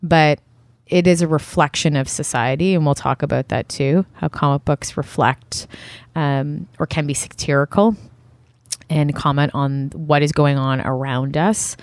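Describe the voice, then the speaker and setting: A woman co-hosting a podcast, chatting casually and jumping in with quick interjections. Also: deep voice, steady tone, no room sound. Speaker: podcast co-host.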